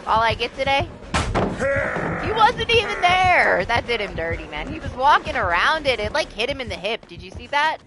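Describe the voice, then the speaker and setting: A young woman from Texas, chatting casually on a live game stream, laughing often and swearing, with a voice starting to go hoarse. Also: high voice, very animated, moderate pace, music in the background. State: starting to lose her voice. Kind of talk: casual live-stream chat while playing.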